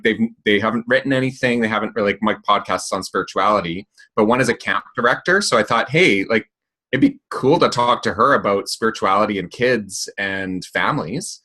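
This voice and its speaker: A man speaks in a very deep voice, sharing tips in a relaxed panel chat. He talks hesitantly, stopping and restarting his thoughts often. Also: moderate pace. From Canada. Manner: hesitant, many fillers and restarts. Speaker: minister and podcaster. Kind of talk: casual panel discussion.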